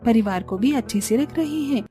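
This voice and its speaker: A woman's voice: a little high, animated, normal speed, mellow, faint music behind, lightly acted. A woman voicing a hard-pressed, practical young village daughter-in-law in a cartoon story, earnest and complaining.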